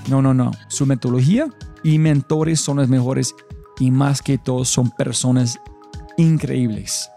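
A man's voice: medium pitch, fairly even intonation, a little slow, vocal fry, warm tone, faint music behind. A man hosting a podcast, opening with an enthusiastic, emphatic intro and then interviewing a guest. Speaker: podcast host.

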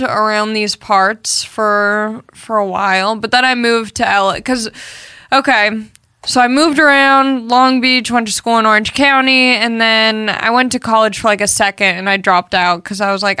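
A woman's voice: fairly high pitch, moderate pace, pushed and bright, smooth, dry recording. A woman in her twenties from Southern California, a comedian chatting as a podcast guest, telling stories with lots of "like". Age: 20s. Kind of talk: casual chat.

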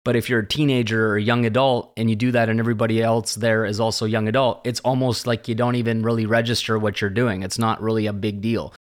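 Rambling man in his late thirties, lowish voice. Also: little pitch movement, fast, hardly any pauses. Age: late 30s. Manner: rambling and conversational, often tags "right?" and "this kind of thing". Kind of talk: reflective solo monologue.

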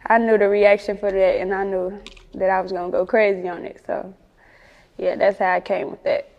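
A woman's voice: mid-range voice, little pitch movement, unhurried, long pauses, big-room sound, dull audio. A woman with a Chicago accent chatting casually in an interview as a rapper, with slangy, sweary talk. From Chicago, United States.

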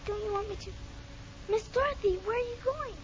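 A woman playing an excitable, affectionate little girl in a 1950s radio drama. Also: very high-pitched, fairly quick. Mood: puzzled, pleading. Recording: dull old 1950s radio recording.

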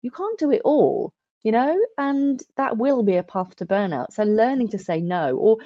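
A woman's voice: a little high, expressive, moderate pace, dry recording, highs cut off. A woman hosting a podcast interview, speaking in an encouraging and affirming way. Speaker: podcast host.

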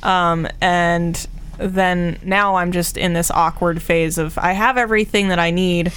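A woman's voice: on the low side, steady tone, measured pace, fairly bright tone. Giggly woman swearing n using slang, casual podcast chat, bit of background noise. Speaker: podcast co-host. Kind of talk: casual group chat about motorcycle projects.

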